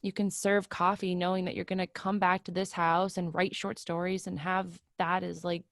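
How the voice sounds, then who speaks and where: A woman's voice: lowish voice, level tone, normal speed, no echo, clean studio sound, natural voice. A woman reading the part of a jaded, despairing young woman in a play, blunt and weary, swearing freely. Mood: bitter, defeated. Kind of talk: play reading over video call.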